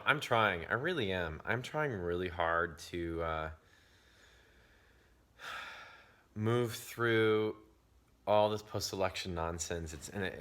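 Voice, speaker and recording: A very low-pitched man speaks to his camera in a hoarse, worn voice, sick with a cold. He chats in a confiding way and sometimes drops to a whisper to save what is left of his voice. The talk is casual and personal. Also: bright, forceful tone. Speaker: vlogger. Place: outdoors in the woods.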